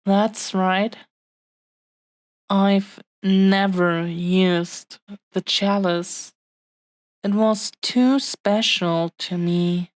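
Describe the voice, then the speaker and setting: Very slow, tender dragon-blooded woman, acted by a woman. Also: fairly low pitch, dry recording. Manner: hushed-paced, halting, affectionate, earnest delivery with long pauses. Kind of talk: intimate roleplay audio drama, addressing the listener.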